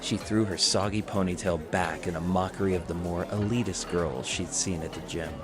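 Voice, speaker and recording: A man narrates a piece of fan fiction from a script. His voice is low, and music plays clearly behind him as he tells the story. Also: fairly even intonation, normal speed.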